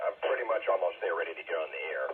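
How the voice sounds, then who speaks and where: A man's voice: sits low, husky. A man in his sixties chatting casually about his hobby over a narrow, muffled radio link. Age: 60s. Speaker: amateur radio operator.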